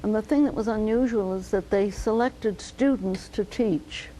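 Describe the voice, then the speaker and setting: An older woman, a physician, telling her life story slowly and thoughtfully in an oral history interview. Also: mid-range voice, mellow, a little hiss or hum, poor audio. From United States. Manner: measured, reflective storytelling.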